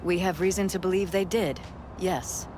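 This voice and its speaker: A woman's voice: lowish voice, steady tone, moderate pace, noisy background, natural voice. A woman playing a poised, shrewd middle-aged politician's wife in a video game, guarded and businesslike. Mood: guarded, matter-of-fact. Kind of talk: cinematic video game acting.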